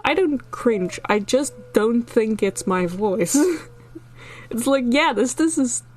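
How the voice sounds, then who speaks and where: Slow, giggly Dutch woman streamer. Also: on the high side, animated. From Netherlands. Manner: giggly, rambling, laughs often. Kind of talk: casual chat with live chat.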